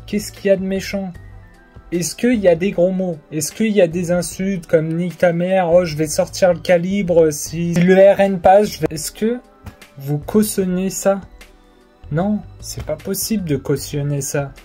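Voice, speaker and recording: Slow, hesitant young vlogger over faint music. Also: mid-range voice, fairly even intonation, warm tone. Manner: hesitant, many fillers, colloquial and insistent. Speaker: political vlogger. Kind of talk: casual opinion monologue to camera. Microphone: phone or camera facing the speaker.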